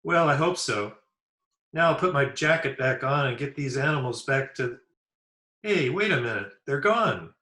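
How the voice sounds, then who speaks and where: Folksy teen boy, radio comedy, adult male actor: slangy, keen, piercingly bright, echoey remote recording. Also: mid-range voice, animated, moderate pace. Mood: cheerful, then flustered. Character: eager, folksy, simple teenage schoolboy. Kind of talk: old-time radio comedy acting.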